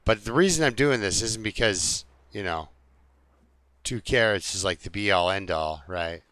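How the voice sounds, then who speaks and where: Low-voiced man streaming a game. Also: lively intonation, measured pace, a bit bright, no room sound. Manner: joking, easygoing. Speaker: live streamer playing a survival game. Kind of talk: casual rambling game commentary to viewers.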